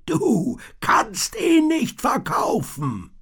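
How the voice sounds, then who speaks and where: A man's voice: mid-range voice, sing-song, slow pace, slightly rough, voice pushed up, exaggerated voice. A man voicing a weathered, haunted old tinker who speaks urgently in a raised voice.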